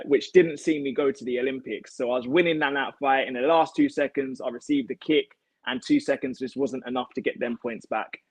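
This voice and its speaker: A man's voice: mid-range voice, no room sound. A young British man chatting casually as an interview guest, telling his own story on a video call. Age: young adult. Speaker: guest, elite martial arts athlete. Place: home kitchen, indoors. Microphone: device mic on a video call.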